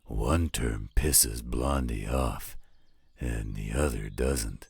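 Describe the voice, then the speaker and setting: A man plays a gruff, patient older werewolf stepfather in an audio drama. The voice is extremely low, raspy and full of gravelly fry, delivered slowly and dryly, close and without echo. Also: exaggerated voice. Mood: matter-of-fact, patient. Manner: gruff, dry, unhurried. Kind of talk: scripted roleplay audio drama.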